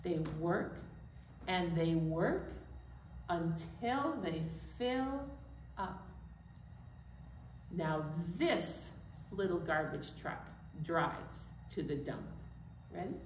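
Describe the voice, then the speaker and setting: A woman's voice: lowish voice, big pitch swings, drawn-out words, long pauses. An older woman leading a singsong children's storytime activity as a library presenter. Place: indoor library room. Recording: roomy echo, distant mic, faint noise.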